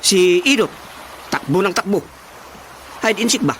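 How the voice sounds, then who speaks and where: Muddled Indian moneylender, swinging pitch. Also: male voice, animated, a little slow, fairly bright tone, slight background noise. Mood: complaining, puzzled. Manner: broken, pidgin-like Tagalog phrasing. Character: muddled Indian moneylender complaining about a runaway debtor. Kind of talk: radio comedy drama acting.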